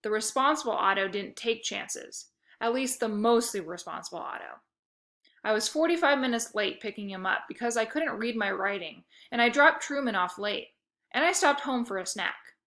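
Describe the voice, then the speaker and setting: Woman reader for a kids' novel; bright, slightly high voice, lively storytelling. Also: normal speed. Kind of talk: reading a children's novel aloud.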